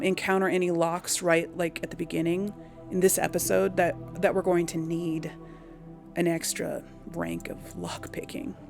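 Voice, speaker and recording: Woman with a creaky voice, thinking aloud. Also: lowish voice. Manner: hesitant, musing. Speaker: video game let's player. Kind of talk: casual game commentary, thinking aloud.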